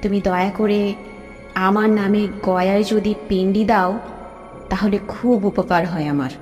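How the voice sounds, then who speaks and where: A woman's voice: mid-range voice, steady tone, unhurried, darkish tone, close to an everyday voice. Woman acting a sorrowful ghost, drenched in reverb. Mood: sorrowful, pleading. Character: sorrowful female ghost of a murdered young widow. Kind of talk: horror cartoon voice acting. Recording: long hall reverb, music behind.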